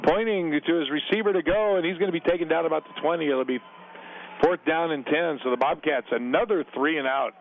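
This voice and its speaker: A man calling a college football game on radio from a stadium press box, brisk on the plays and relaxed when chatting with guests. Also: a little high, slight background noise. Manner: brisk play calls mixed with relaxed booth chat. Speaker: radio play-by-play announcer. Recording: narrow phone-like radio broadcast.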